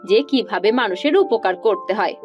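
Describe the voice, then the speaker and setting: A woman voicing a gentle, forgiving, dutiful village wife in a cartoon, with a reasoning manner. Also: mid-range voice, fairly quick, lo-fi sound, music in the background, close to an everyday voice. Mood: gentle, approving. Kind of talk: cartoon dialogue acting.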